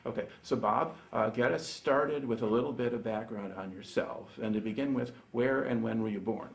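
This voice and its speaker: A man's voice: mid-range voice, moderate pace, vocal fry. A man with an American accent conducting an informal oral history interview.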